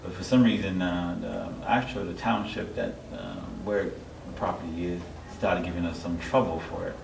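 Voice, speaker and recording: A middle-aged man from Haiti giving a personal testimony as an interview guest, halting and searching for his words. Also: sits low, steady tone. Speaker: interview guest, church member. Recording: echoey, distant mic, clear background noise.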